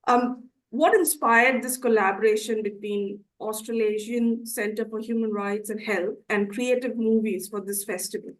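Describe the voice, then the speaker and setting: A woman with a croaky voice, hosting a formal community interview and introducing her guests politely. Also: fairly high pitch, a little slow, hardly any pauses, low-quality recording. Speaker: interview host.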